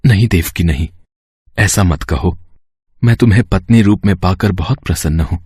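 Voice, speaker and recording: A man's voice: sits low, normal speed, darkish tone, slightly rough, no room sound, studio quality. A man playing a noble, devout captive husband and father in a mythological story, earnest and dramatic. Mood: tender. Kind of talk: Hindi mythological audio story acting.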